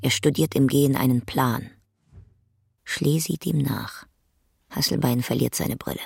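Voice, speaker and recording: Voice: medium pitch, unhurried, gravelly, darkish tone, husky, no room sound, clean studio sound. A narrator reading clipped, scripted stage directions in a drama production.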